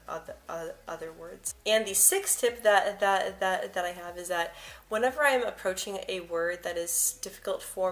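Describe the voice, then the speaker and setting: A woman's voice: lowish voice, fairly bright tone. A young woman vlogger who stutters, often repeating words and first sounds as she talks to camera and shares personal tips.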